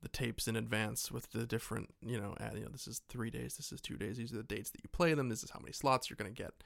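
A man co-hosting a podcast, casually retelling a film in a joking, animated way and happy to swear. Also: medium pitch, fast talker, vocal fry, dry recording, clean studio sound. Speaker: podcast co-host. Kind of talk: casual film recap chat.

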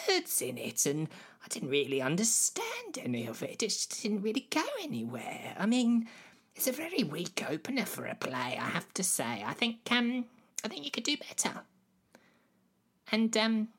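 A woman playing William Shakespeare as a silly, boastful playwright in a comedy sketch, rambling and emphatic with a husky voice. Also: a little high, fairly bright tone, exaggerated voice. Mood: indignant, dismissive. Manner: rambling, emphatic, swooping exclamations.

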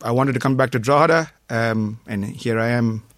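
A man's voice: mid-range voice, normal speed, dry recording. Modest man from Malaysia, dry studio sound. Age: middle-aged. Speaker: doctor, studio guest. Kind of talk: casual radio interview.

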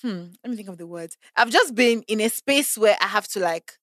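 A woman's voice: mid-range voice, brisk, no room sound, clean studio sound. A woman, chatting as a podcast guest and acting out the voices of people she quotes. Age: 20s. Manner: animated, acts out quoted voices. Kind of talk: casual conversation.

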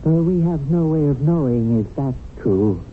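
An actor playing a mild, earnest guardian angel in a 1970s radio drama, hesitant and a little defensive. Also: male voice, fairly high pitch, animated, normal speed, slight background noise, natural voice. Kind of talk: 1970s radio drama acting and first-person narration. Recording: tinny vintage radio broadcast.

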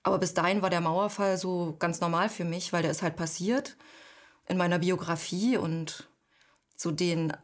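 Thoughtful woman with a lowish voice. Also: steady tone. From East Germany. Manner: thoughtful, searching for words with frequent pauses. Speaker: guest. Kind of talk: reflective personal interview.

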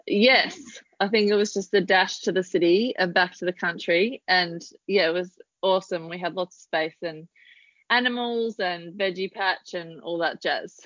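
Australian woman, 30s, relaxed podcast guest, chatty with ums; dry, dull lo-fi recording. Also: lowish voice, moderate pace, dry recording. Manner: chatty, laughs easily, many ums. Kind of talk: casual interview chat.

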